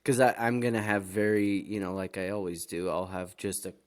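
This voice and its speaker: A man's voice: deep voice, little pitch movement, brisk. A man co-hosting a gaming podcast, chatting informally and wryly in an anecdotal way. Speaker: podcast co-host. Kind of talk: casual gaming podcast chat.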